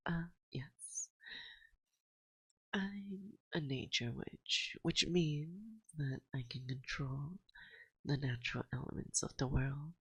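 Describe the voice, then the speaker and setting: A woman playing a playful, dominant forest witch in an ASMR roleplay, speaking softly and breathily close to a binaural mic, often slipping into a whisper, teasing and coaxing. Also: low voice, slightly rough, no echo, full character voice, poor audio. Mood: smug, teasing. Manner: slow, teasing, coaxing, with musing pauses. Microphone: close binaural microphone.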